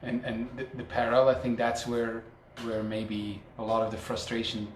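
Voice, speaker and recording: A man with a Dutch accent speaks softly and thoughtfully, his voice mid-pitched. It echoes in the room, with a faint background hiss. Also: monotone, normal speed. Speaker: podcast co-host. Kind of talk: reflective conversational talk.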